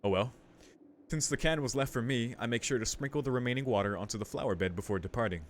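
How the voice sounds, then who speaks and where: A man streaming a game, casually commenting and reading text aloud, thinking out loud as he goes. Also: mid-range voice, fast talker, slight background noise. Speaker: let's play streamer. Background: game music.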